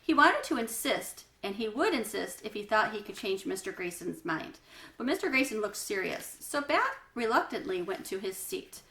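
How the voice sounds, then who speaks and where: A woman reading a children's story aloud as a storyteller, with expressive delivery. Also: mid-range voice, normal speed. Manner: explains hard words in asides. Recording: roomy, echoey room sound.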